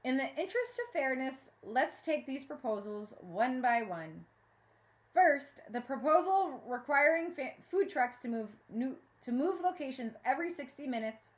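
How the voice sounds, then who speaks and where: A woman voicing a brisk, impatient female mayor in a storybook, formal and projecting like an official. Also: sits high, lively intonation, voice pushed up.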